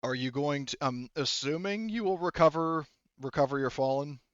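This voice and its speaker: A man working as game master, narrating an improvised story in a halting, conversational way with some casual swearing. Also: a little high, a bit bright, smooth, dry recording, lo-fi sound.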